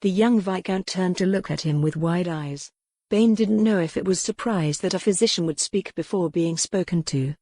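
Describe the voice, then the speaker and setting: A woman narrating a novel in a measured, even storytelling manner on a close mic. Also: fairly low pitch, dry recording. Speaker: audiobook narrator. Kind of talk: scripted narration.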